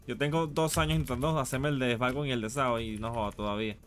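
Guy talking super fast on game chat, repeats stuff, swears, faint music. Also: mid-range voice, fairly even intonation, a bit bright. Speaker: gaming teammate on voice chat. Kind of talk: casual gaming chat.

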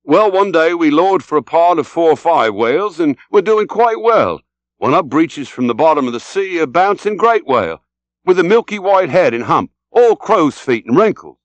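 A man puts on a bluff, genial English whaling captain from a storybook. He spins his yarn heartily, with exclamations, a raised pitch and big swings of intonation. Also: very animated, moderate pace, dry recording. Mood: spirited storytelling.

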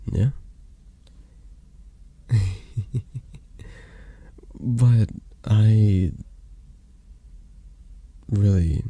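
A man playing a flirty, possessive, tender mafia boss in an intimate ASMR roleplay, hushed and halting, drifting into whispers. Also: low voice, creaky, slightly rough, heavily acted. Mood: adoring, possessive. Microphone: close microphone, dry sound.

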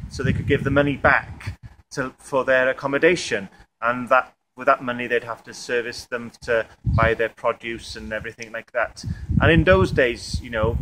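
Welsh guy in his 30s giving an interview outside, lots of ums, noisy open air. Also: medium pitch. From Wales. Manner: halting, many fillers and restarts. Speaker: tourism representative. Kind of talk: on-camera interview. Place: outdoor museum yard by stone buildings. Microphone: camera mic, outdoors. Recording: outdoor noise behind.

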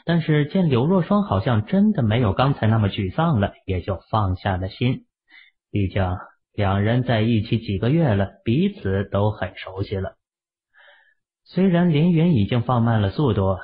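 Husky lively male audiobook narrator. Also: lowish voice. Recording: muffled phone-like audio.

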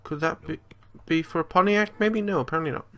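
A man's voice: on the high side, fairly quick, pushed and bright. A man giving casual, improvised video game commentary, jokey and sweary, with bits of playful baby-talk. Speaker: let's play host.

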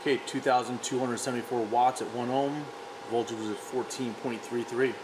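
A man's voice: mid-range voice, steady tone, normal speed. An American man in his thirties plainly presenting a product test. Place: indoor workshop or warehouse. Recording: clear air-conditioning hum.

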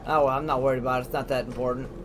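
A man's voice: medium pitch, steady tone, very fast. A man giving casual let's play commentary as a gaming host, informally thinking aloud. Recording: clearly noisy, game ambience behind.

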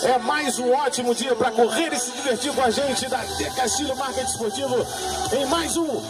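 A man announcing a running event outdoors in a hyped, projecting manner with drawn-out words. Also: very high voice, recorded from a distance. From Brazil. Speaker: race announcer. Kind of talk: live sports event announcing. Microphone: handheld microphone. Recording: outdoor PA, loud music and crowd.

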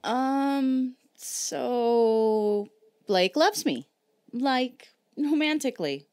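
A woman's voice: high voice, lively intonation, drawn-out words, a little mellow, clean tone, dry recording, studio quality, full character voice. An actress playing a flustered young woman, a casual older sister, with hesitant delivery in an audio fan drama. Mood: awkward, nervous.

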